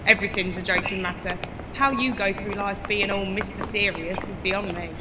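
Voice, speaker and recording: Irreverent joker played by a woman, mid-pitched, brash, teasing, audio drama with clear ambient noise. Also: steady tone, moderate pace, close to an everyday voice. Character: cheeky, irreverent, joking female worker.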